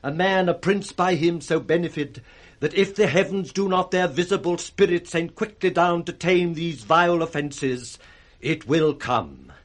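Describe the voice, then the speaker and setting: An actor playing a grave, morally outraged noble husband with a British accent, declaiming in 1960s radio Shakespeare style. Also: male voice, a little high, unhurried, lightly acted. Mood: grave reproach.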